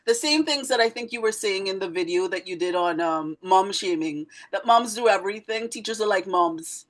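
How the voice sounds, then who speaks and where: A woman's voice: medium pitch, lively intonation, normal speed. A woman from Trinidad with a Trinidadian accent, answering interview questions in an articulate, explanatory way and correcting herself as she goes. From Trinidad and Tobago. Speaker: interview guest, teacher and poet.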